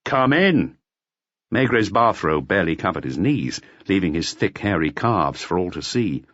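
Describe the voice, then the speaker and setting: A man narrating a literary audiobook in a measured, expressive storytelling manner. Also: sits low, no echo, muffled recording. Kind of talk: scripted literary narration of a detective novel.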